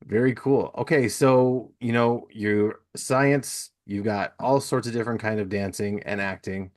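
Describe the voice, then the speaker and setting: Low-pitched man hosting a casual chat. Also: fairly even intonation, a little slow. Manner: easygoing, informal, agreeable. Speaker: podcast host.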